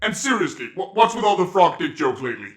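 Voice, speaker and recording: A man's voice: high-pitched, very animated, quick pace. A man with Danish as his first language voicing a stern, bossy YouTube overseer who issues threats, over background music. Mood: scolding. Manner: bossy, menacing ultimatum tone.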